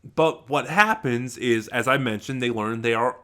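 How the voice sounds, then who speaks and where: Man, mid-pitched, sharp bright tone, casual unscripted reviewer, halting, meandering delivery. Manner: halting, rambling, trailing off mid-thought. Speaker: YouTube reviewer. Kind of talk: unscripted audio drama review, casual talk to viewers.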